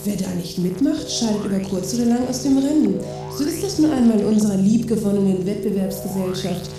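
A woman's voice: mid-range voice, a little fast, lightly acted. Woman acting pushy condescending saleslady, radio play, super echoey and far off, music behind. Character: pushy, scornful female seller of escapist gadgets. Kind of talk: satirical radio play dialogue.

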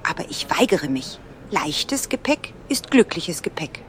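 A woman acting as a prickly female traveller in a radio play, her voice a little low. She is sarcastic and dismissive, recorded over faint ambient noise. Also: sing-song, a little slow. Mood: sardonic. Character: sardonic, prickly single woman traveller with a dog. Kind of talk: German audio drama dialogue.

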